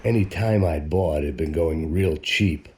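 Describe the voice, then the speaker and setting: An actor playing a weary, hard-bitten ex-cop narrating in a sardonic noir voice-over. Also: male voice, very deep voice, measured pace, background noise. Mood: grim.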